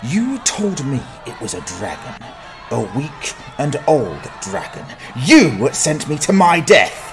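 A man voicing a gruff, sardonic, down-at-heel adventurer in a fantasy audiobook, grumbling in exasperation. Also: mid-range voice, big pitch swings, slow, soft background music, a faint crowd. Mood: indignant. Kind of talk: dramatized fantasy audiobook acting.

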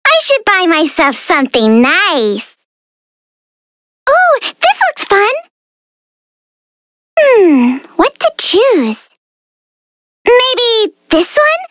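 A tiny, bratty fairy girl from a video game, squeaky and extremely high. The delivery is theatrical and sassy, swooping wildly up and down with a piercingly bright tone. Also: wildly swooping pitch, drawn-out words, long pauses, no echo, heavily acted. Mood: mischievous. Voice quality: girlish, squeaky. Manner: playful, theatrical, teasing, sassy. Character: tiny mischievous female fairy, vain and bratty. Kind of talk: video game character voice lines.

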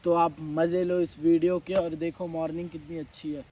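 A man's voice: fairly high pitch, level tone, a little fast, mellow, faint music behind. A young man vlogging to his phone camera outdoors on a forest trek, chatty and enthusiastic as he walks. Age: young adult. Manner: chatty, enthusiastic, talks to camera while walking. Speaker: travel vlogger. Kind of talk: casual trekking vlog. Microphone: phone at arm's length.

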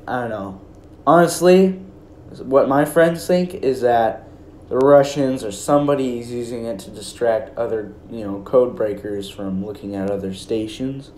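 A man's voice: mid-range voice, darkish tone. Young American man, roomy echoey recording. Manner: hesitant, informal, rambling. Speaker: video creator. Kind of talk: casual rambling commentary. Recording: roomy echo, faint background noise.

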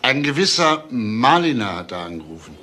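Brisk homicide detective with a forceful edge. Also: male voice, medium pitch, sing-song. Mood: businesslike, urgent. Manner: clipped, businesslike questioning. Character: brisk, probing male police homicide detective. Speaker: actor in a detective radio play. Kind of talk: German crime radio drama acting.

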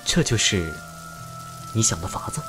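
A man's voice: fairly low pitch, over music. A man acting a chatty, nosy immortal in a fantasy audio drama, wry and incredulous with his friend. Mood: incredulous, teasing. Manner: animated, wry, drawn-out musing.

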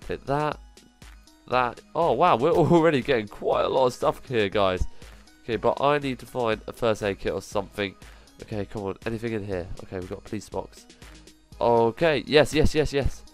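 A man with a cold, sounding nasal, giving excitable commentary as he plays through a survival game, full of quick exclamations. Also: mid-range voice, faint music behind. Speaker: gaming channel host. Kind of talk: gameplay commentary.

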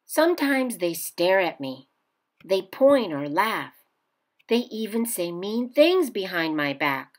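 Slow, animated woman reading to children. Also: medium pitch. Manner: expressive, addressing young children. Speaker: picture-book read-aloud narrator. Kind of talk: children's storybook read-aloud.

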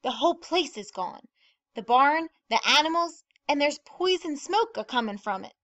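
A woman voicing excitable small-town gossips in a storybook, exclaiming breathlessly and emphatically as they spread exaggerated news. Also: high-pitched, moderate pace, pitched above the performer's own voice. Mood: alarmed, breathless. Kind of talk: animated storybook character reading.

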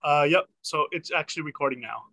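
A man's voice: fairly high pitch, brisk, sharp tone. A man hosting a webinar, chatting casually with brief friendly replies on a video call. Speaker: webinar host. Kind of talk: casual chat.

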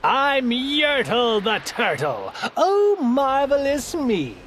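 A woman's voice: sits high, sing-song, slow pace, a little hiss or hum, exaggerated voice. A woman voicing a pompous, boastful, tyrannical turtle king in a storybook, declaiming grandly in a bellowing manner. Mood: gloating, exultant. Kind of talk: storybook character voice in a read-aloud.

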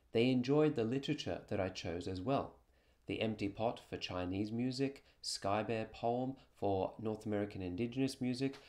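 Male teacher narrator, low voice, scripted educational presentation delivery. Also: darkish tone. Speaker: music teacher presenting student project.

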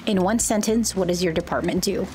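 A woman hosting an interview, reading scripted quick-fire questions in a brisk, upbeat way. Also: lowish voice, background noise. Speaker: interview host. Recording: background music underneath.

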